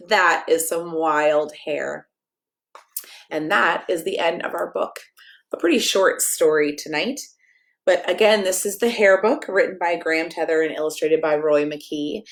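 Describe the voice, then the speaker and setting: An American woman with a lowish voice and a noticeable creaky fry speaks as if to young children at story time. Her delivery is playful and expressive, moving between sing-song read-aloud and casual, direct chat. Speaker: pastor reading a picture book to children.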